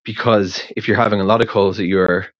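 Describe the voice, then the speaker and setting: Halting, lower-voiced man in a dull recording. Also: steady tone, no room sound. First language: English. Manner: hesitant, halting, circling back and repeating words. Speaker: podcast creator sending in a question. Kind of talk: casual rambling voice memo.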